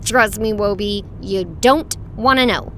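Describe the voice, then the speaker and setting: A woman playing a bold, cheeky, excitable young girl in a children's audio drama. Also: medium pitch, measured pace, a little hiss or hum, natural voice. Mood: wary, exasperated. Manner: excitable, sassy.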